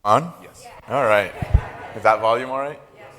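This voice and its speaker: A man's voice: medium pitch, normal speed, a bit bright. A man of around forty from Rica, giving a keynote lecture with a lapel mic. He speaks hesitantly, with many fillers and small jokes. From Costa Rica. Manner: hesitant, filler-heavy, joking. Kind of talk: academic lecture. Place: large lecture hall. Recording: long reverb in a lecture hall.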